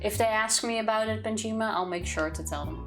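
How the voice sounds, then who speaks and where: A woman's voice: on the high side, brisk. A woman co-hosting a live game stream, chatting casually with wry jokes. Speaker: co-host streamer. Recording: music behind the voice. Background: music.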